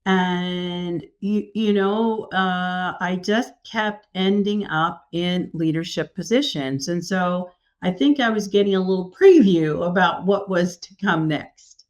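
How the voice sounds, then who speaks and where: Animated woman from New York. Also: on the low side, slow pace, hardly any pauses. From New York City. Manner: animated, enthusiastic storyteller. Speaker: executive coach guest. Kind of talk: casual interview.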